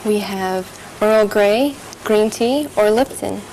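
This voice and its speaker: A woman reading a server's part in a scripted practice dialogue, polite and clearly spoken. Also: mid-range voice, takes it slowly, darkish tone, slight background noise, rough recording. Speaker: dialogue reader playing a server.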